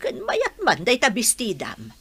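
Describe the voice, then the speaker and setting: A woman's voice: fairly high pitch, wildly swooping pitch, a little slow, a bit bright, faint music behind, exaggerated voice. A woman with an Ilocano accent acting a scheming, doting village mother in a radio drama, coaxing and emphatic. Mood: eager, proud.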